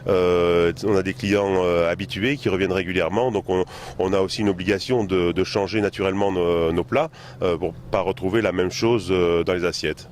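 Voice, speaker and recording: Man, very low voice, chef answering an interview, halting with many fillers, faint background ambience. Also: steady tone, a little mellow, slight background noise. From France. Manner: hesitant, many fillers and repeated words. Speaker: chef and bistro owner.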